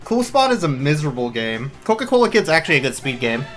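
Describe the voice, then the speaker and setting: A man's voice: on the high side, big pitch swings, quick pace. A man giving casual, wry, offhand commentary on a live video game speedrun. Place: live gaming event stream with game music behind.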